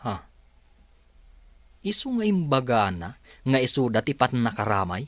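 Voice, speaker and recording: An actor playing an easygoing adult son in a family business in a radio drama, with an Ilocano Filipino accent. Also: male voice, mid-range voice, very animated, unhurried, no room sound. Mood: questioning.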